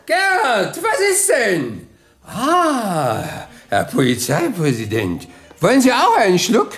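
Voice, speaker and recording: Casting: teasing woman at a party, extremely animated pitch, slow drawl, music behind. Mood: teasing, theatrical. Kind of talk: radio drama acting.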